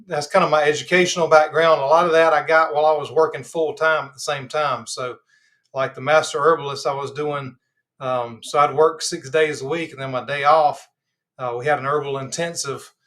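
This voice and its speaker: This is a man with a Southern drawl from Georgia, a little stuffy in the nose. He chats with his livestream viewers in a loose, meandering way, full of fillers, and his voice sits slightly higher than most men's. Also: fairly quick. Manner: relaxed and rambling, many fillers. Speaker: host of a live health channel. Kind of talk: casual livestream chat.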